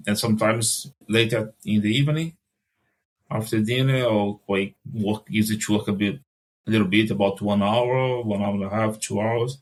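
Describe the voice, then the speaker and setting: A Brazilian man with a Brazilian Portuguese accent, answering interview questions in casual conversation, often stopping and restarting his phrases. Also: lowish voice. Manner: halting, restarts phrases, non-native grammar. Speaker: podcast guest, translator.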